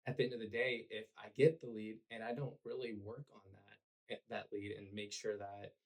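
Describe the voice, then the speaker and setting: A man, hoarse and raspy from cheering, talking earnestly about his work as a podcast guest with lots of fillers. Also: lowish voice, fairly even intonation, normal speed, darkish tone. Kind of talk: conversational podcast interview.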